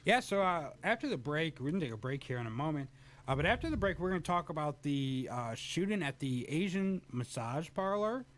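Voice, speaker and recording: A man's voice: on the high side, fairly quick. A young man hosting a talk radio show, joking and bantering casually into a studio mic. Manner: joking, animated, sometimes puts on silly voices.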